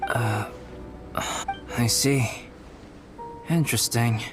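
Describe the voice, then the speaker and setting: An actor playing a calm, cerebral young alchemist in an anime game, measured, formal and analytical. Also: male voice, mid-range voice, over music. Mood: composed.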